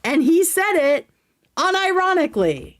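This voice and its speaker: A woman hosting a livestream, giving casual, conversational reaction commentary with animated emphasis. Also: very high voice, a little slow.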